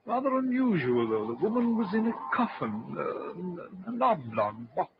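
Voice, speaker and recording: A probing sea captain in old-time radio style, with a higher, animated voice and hesitant questions. The recording is muffled and noisy. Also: male voice, measured pace, creaky, exaggerated voice.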